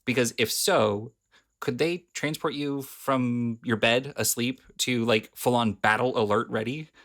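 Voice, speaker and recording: A man's voice: mid-range voice, unhurried, fairly bright tone. A man co-hosting a podcast in casual chat, asking curious questions with lots of likes and ums. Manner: curious, questioning, many fillers. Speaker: podcast co-host.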